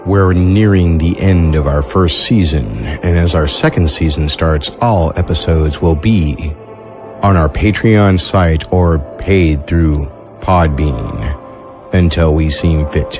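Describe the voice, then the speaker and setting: A man's voice: extremely low pitch, slow. A man hosting an audio fiction show, reading a scripted introduction over music. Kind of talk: scripted narration.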